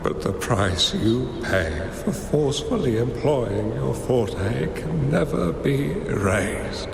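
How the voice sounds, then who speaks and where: A man playing a wise, solemn mentor in a video game, speaking gravely and deliberately. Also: medium pitch, big pitch swings, noisy background, full character voice. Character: wise, solemn male master mentoring a young pupil. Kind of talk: dramatic video game voice acting. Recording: long echoing reverb over music.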